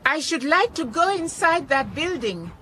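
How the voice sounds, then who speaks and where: High, bright, determined woman. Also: big pitch swings, unhurried, a little hiss or hum, heavily acted. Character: determined, curious woman visiting an abandoned place. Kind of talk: radio drama acting.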